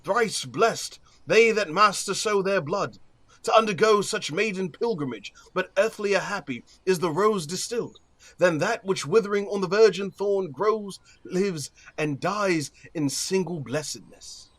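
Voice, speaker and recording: A stately Athenian duke played by a man gives judgment in a Shakespearean audio play. His voice is notably high for a man, with formal, measured authority in each phrase. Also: fairly bright tone. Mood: grave, advising. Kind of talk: Shakespearean verse audio drama acting.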